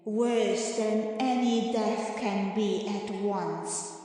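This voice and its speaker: Woman acting a very slow, echoing avenging spirit. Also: mid-range voice, fairly even intonation, warm tone. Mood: solemn, condemning. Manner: slow, deliberate, portentous delivery with long pauses. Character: airy spirit Ariel disguised as a harpy, solemn, condemning. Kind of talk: dramatic Shakespeare audiobook reading. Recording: very echoey long reverb, faint music.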